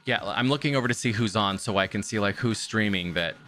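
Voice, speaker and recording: Young male guest, bright lively chatter, slips into quoted voices. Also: on the low side, fast talker, faint music behind. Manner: animated, acts out quoted voices. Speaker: podcast guest. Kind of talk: casual chat. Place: home stream room.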